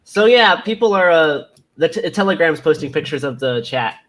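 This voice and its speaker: A woman's voice: low-pitched, lively intonation, normal speed. A woman chatting casually as a livestream guest, dropping in wry remarks. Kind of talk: casual chat. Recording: faint noise with clicks.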